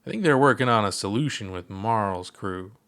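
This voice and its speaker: A mellow, unbothered old space explorer from a storybook reading, voiced by a man. He talks in an easygoing drawl with a low, settled voice, never getting worked up. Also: expressive, normal speed. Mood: relaxed. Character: laid-back old alien explorer, unhurried and mellow.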